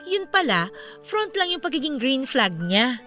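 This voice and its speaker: A woman's voice: sits high, a little slow, heavily acted. An actress playing a chatty, candid young woman with a Filipino accent, confiding as a sympathetic friend in a radio drama. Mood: candid, animated. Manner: expressive, conversational, emphatic. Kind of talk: Tagalog radio drama dialogue. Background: music under the voice.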